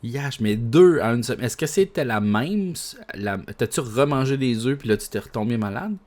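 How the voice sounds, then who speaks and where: A man's voice: medium pitch, normal speed. A man with a Quebec French accent, hosting a casual podcast chat and telling stories with lots of swearing and laughter. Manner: animated storyteller, swears and laughs often. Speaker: podcast host.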